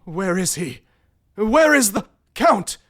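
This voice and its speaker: An actor playing a wary, dry young French gentleman, a loyal and sceptical friend, in an audio drama. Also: sits high, sing-song, takes it slowly, heavy background noise, full character voice. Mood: desperate, urgent. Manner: curt, dry.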